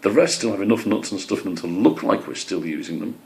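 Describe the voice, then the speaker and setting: A man's voice: on the low side, expressive, fast talker, vocal fry, a little mellow, a little hiss or hum. Willing, cheeky woodland squirrel sidekick for a storybook, chummy in manner male reader. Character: hard-working squirrel friend, cheeky and willing.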